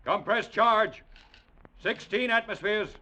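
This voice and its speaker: An actor playing a Martian squad officer calling out clipped military commands in an old radio drama. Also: male voice, high voice, slow, sharp tone, full character voice. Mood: commanding. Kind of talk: 1940s-50s radio acting.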